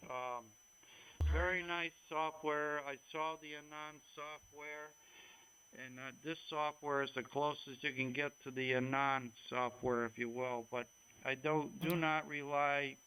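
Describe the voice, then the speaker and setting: A man's voice: on the high side, steady tone, measured pace, sharp tone. A man talking casually as an amateur radio operator over a radio transmission. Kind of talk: casual on-air ham radio chat. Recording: noisy radio transmission with hum.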